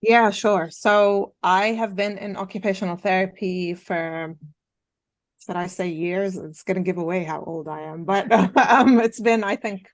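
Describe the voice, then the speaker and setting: A woman in her forties, a native Arabic speaker originally from Jordan, calmly answering interview questions with frequent pauses and fillers. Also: mid-range voice, no room sound. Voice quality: thoughtful, many "um" and "you know" fillers. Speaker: interview guest, occupational therapist. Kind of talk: conversational interview.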